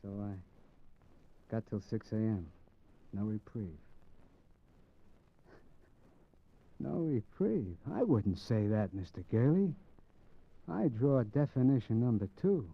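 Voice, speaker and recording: An actor playing a brooding small-time American gangster in a mid-century radio crime drama, with slangy, halting speech. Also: male voice, medium pitch, sing-song, full character voice. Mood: resigned, sardonic. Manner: slangy, halting tough-guy talk with repeated words. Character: brooding small-time male gangster narrating from death row. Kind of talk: 1940s-50s American radio crime acting. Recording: muffled old radio recording with music.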